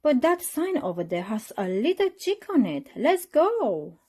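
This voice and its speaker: A woman's voice: sits high, big pitch swings, a little slow, darkish tone, slightly rough, pitched above the performer's own voice, exaggerated voice. A woman voicing a cheerful, eager little girl mouse from a children's storybook. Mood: hopeful.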